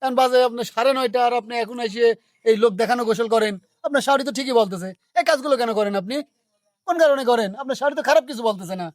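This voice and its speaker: Woman as very fast-talking mediating village neighbour. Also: a little high, a little mellow, no echo, studio quality, close to an everyday voice. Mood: admonishing. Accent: Bangladeshi Bengali. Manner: questioning, advising. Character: meddling, mediating village neighbour, reasonable and admonishing. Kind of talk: domestic drama acting.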